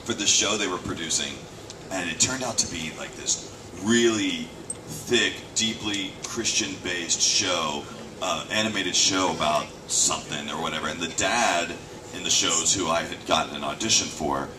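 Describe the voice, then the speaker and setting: A man's voice: low voice, moderate pace. A man, a voice actor, answering fan questions at a convention panel in a casual, joking way. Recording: distant fan-shot recording with noise.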